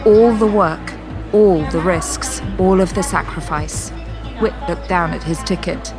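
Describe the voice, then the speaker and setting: A woman's voice: on the low side, unhurried, music in the background. A woman narrating a scripted audio drama in a storytelling role.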